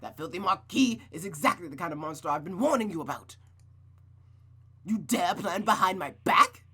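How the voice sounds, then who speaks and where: A man's voice: high voice, expressive, normal speed, pushed and bright, breathy edge, pitched above the performer's own voice, exaggerated voice. Man voicing a cold, controlling, bitter mother. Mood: furious, menacing. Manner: cold, commanding, lecturing. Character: controlling, bitter, menacing mother, adult woman. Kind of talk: visual novel voice acting.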